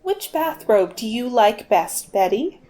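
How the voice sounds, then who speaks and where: A woman's voice: a little high, sing-song, slow, warm tone. A woman playing a fussy, motherly middle-aged lady in a 1940s-style radio comedy. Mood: chatty.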